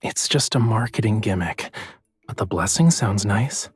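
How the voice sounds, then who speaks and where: Husky, teasing young romantic lead. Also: male voice, fairly low pitch, lively intonation, measured pace, heavily acted. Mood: playful, musing.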